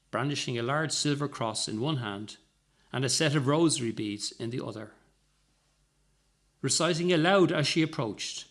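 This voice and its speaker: A man's voice: mid-range voice, a bit bright. A man narrating a scripted short story as its reader.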